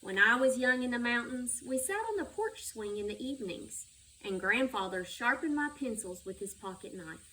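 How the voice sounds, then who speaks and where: A woman's voice: fairly high pitch, lively intonation, normal speed, sharp tone. Distant woman reading a storybook. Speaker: school superintendent reading a children's book. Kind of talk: read-aloud children's storytelling. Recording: distant microphone with background noise.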